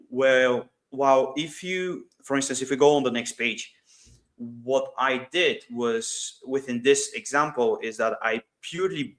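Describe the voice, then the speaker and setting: Male business guest, mid-pitched voice, informal and emphatic, explanatory interview delivery with an anecdotal bent. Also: a bit bright. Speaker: guest, startup CEO. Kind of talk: explanatory business talk in an online interview session.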